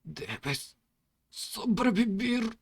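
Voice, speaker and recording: A man's voice: fairly high pitch, lively intonation, measured pace, voice pushed up. A man voicing a wounded, dying male teacher full of guilt, speaking in a weak, strained way. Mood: anguished.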